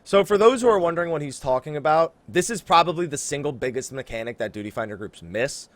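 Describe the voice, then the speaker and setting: A man's voice: fairly high pitch, expressive, quick pace, no room sound. A man hosting a casual gaming podcast, talking emphatically and straight to the listeners to hype things up. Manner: emphatic, hyping, talks directly to listeners. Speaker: podcast host.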